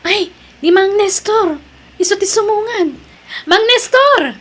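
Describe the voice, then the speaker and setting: A woman's voice: very high-pitched, animated, slow, fairly bright tone, heavily acted. A woman playing a chatty, helpful co-worker in an audio drama.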